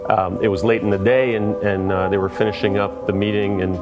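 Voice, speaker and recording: Hesitant deep-voiced man over loud music. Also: flat delivery, fairly quick. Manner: hesitant, many uh and um fillers. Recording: documentary clip with loud music.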